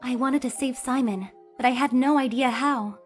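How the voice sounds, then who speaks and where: A woman's voice: high voice, normal speed, faint music behind, close to an everyday voice. Woman voicing an earnest, upbeat young lawyer. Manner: energetic, bursts into emotional pleas. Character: earnest, cheerful young female defense lawyer. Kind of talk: fan dub voice acting.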